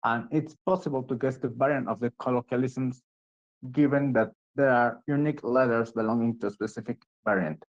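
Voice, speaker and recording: A man's voice: medium pitch, fairly even intonation, fairly quick. Man closing a talk, lo-fi sound. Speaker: presenter.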